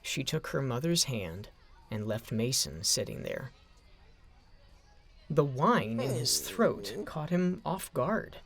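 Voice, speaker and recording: A man narrating a scripted story in a dramatic, expressive storytelling manner. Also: medium pitch, slow pace, creaky.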